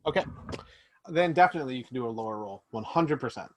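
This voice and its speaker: A man running a tabletop role-playing game over a video call, narrating scenes slowly and descriptively. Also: medium pitch, animated, a little hiss or hum. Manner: slow, drawn-out descriptive narration. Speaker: game master. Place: home room indoors. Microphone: video call webcam mic.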